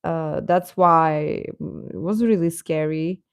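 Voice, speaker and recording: Female livestream host: casual, playful, unhurried delivery, mid pitch, dry sound. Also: vocal fry, clean tone, no room sound. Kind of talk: casual chat with live viewers.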